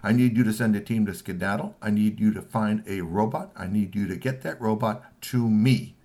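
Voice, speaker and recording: A man's voice: on the low side, normal speed, mellow, natural voice. A man voicing a short-tempered big boss who gives curt, demanding commands.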